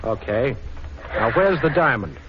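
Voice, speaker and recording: Cocky, tough-but-playful male actor as radio detective, very animated delivery, old muffled 1940s recording. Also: medium pitch, unhurried, noisy background, natural voice. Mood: taunting. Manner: brash, taunting, wisecracking. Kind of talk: 1940s radio drama acting.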